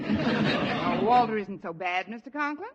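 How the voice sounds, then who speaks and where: A woman playing a wisecracking high school English teacher in a 1950s radio sitcom, delivering dry, sardonic quips. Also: animated, unhurried, dull audio, very noisy, natural voice. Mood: wry. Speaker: narrator of her own story.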